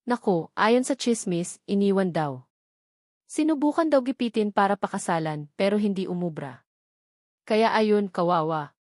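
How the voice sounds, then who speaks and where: A woman's voice: mid-range voice, expressive, normal speed, darkish tone, no room sound, studio quality, natural voice. A woman voicing a spiteful gossiping nurse in a story, conspiratorial and insinuating, with a Filipino accent.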